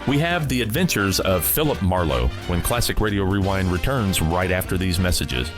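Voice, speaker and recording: A man with a deep voice hosts a radio programme. He reads scripted introductions while music plays clearly underneath. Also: lively intonation, normal speed. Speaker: radio show host. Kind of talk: scripted narration.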